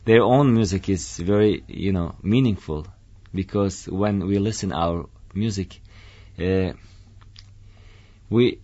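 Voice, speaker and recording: A man's voice: low-pitched, takes it slowly, warm tone, lo-fi sound. A young man from Turkey with a Turkish accent, speaking hesitantly in a studio interview. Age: young adult. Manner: hesitant, many fillers and restarts. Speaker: doctoral student interviewee. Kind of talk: casual interview.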